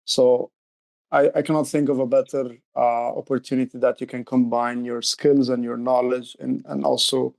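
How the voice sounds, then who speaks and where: Middle-aged man with creaky voice. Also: medium pitch, flat delivery, moderate pace, a little mellow. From Egypt. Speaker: youth program director, interview guest. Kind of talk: conversational interview explanation.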